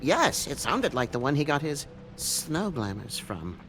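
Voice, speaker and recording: A man's voice: fairly high pitch, big pitch swings, unhurried, a bit bright. A man voicing a meek, polite monkey servant in a video game, timid, deferential and hesitant, eager to help. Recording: music under the dialogue.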